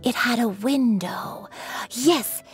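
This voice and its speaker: A woman voicing a gentle, forgetful, wistful granny in a video game, speaking haltingly. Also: fairly high pitch, husky, soft background music, full character voice. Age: older. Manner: hesitant, halting.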